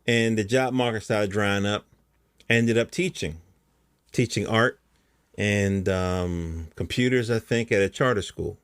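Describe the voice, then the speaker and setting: A middle-aged American man speaks in a low-pitched voice with very little room sound around it. He talks like a casual podcast host, rambling along in colloquial language. He often checks that the listener is following him. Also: measured pace, clean tone, no room sound, studio quality. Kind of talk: casual conversational monologue.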